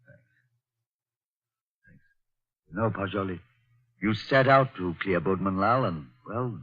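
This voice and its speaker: Earnest gentleman, stammering, husky, low, muffled vintage radio. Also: slow pace, exaggerated voice. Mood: reassuring. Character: loyal British gentleman friend, earnest. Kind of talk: 1940s radio acting. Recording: dull old radio broadcast.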